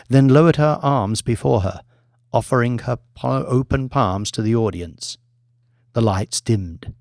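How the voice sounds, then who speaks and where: A man narrating dramatic fiction as an audiobook reader, in a measured, articulate storytelling manner. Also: mid-range voice, creaky, no room sound, clean studio sound.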